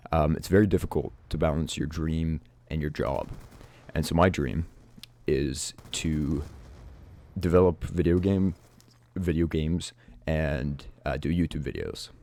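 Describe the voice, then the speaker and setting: Teen male vlogger, very low pitch, mellow dark tone, raspy and congested from a cold, hesitant delivery. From Canada. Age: teens. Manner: hesitant, many restarts and fillers. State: sick, stuffed up, raspy. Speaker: YouTuber. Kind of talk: reflective advice vlog.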